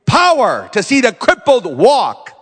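A man preaching as a visiting missionary, telling healing stories and declaring loudly, rising to exclamations. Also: very high voice, wildly swooping pitch, measured pace, husky, big-room sound. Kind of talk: pentecostal sermon.

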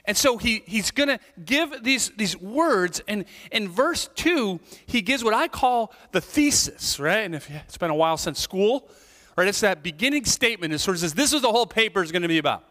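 A man preaching a sermon as pastor, in an animated, conversational way that keeps checking in with the congregation. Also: sits high, normal speed, in a large hall. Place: church sanctuary.

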